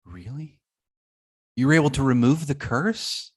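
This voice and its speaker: A man in a comedy table read playing a dazed, anxious man, halting and bewildered. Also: medium pitch, husky. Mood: dazed, alarmed. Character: dazed, anxious man waking from a werewolf-like curse.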